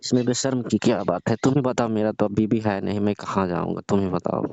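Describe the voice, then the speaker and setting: A man's voice: lowish voice, steady tone, a little fast, creaky, darkish tone, dry recording. A man playing a lecherous, pleading older father-in-law in a comedic phone-call skit, coaxing and insistent. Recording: dull lo-fi phone-call style audio.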